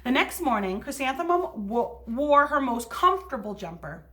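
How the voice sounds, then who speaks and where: Higher-voiced woman teacher reading to children. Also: big pitch swings, moderate pace, pushed and bright. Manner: simple, instructive, child-directed. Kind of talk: read-aloud storytelling for young children with explanatory asides.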